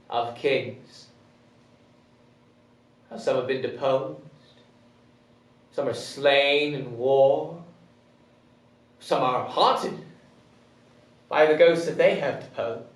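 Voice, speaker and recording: A man performing a deposed, bitterly grand king in a theatrical Shakespearean verse monologue, declamatory and drawn-out, swinging between hushed and ringing. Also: fairly high pitch. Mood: brooding. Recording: roomy echo, distant microphone.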